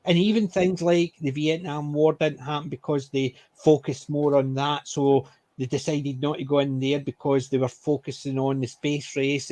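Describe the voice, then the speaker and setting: A man's voice: on the high side, darkish tone, dry recording. A Scottish man chatting casually as a podcast co-host, joking and self-deprecating. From Scotland. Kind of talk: casual group chat.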